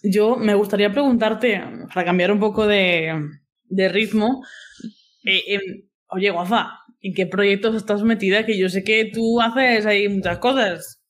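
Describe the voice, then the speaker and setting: High-voiced man host, casual friendly prompts. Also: steady tone, a little slow, fairly bright tone. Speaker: podcast host. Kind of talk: casual interviewing.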